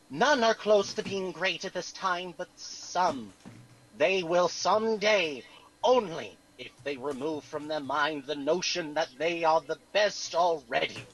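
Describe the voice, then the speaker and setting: A man's voice: on the high side, fairly bright tone, low-quality recording. A man voicing a pompous, grandiose orator in a theatrical dramatic reading. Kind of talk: mock-dramatic reading of an email.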